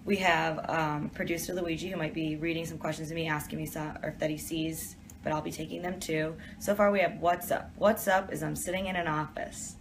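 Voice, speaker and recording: Low-voiced woman chatting on a live stream. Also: little pitch movement, a little fast, hardly any pauses, noisy background. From Detroit, USA. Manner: reads questions aloud and answers in a chatty, offhand way. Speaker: TV panelist answering viewer questions. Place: office.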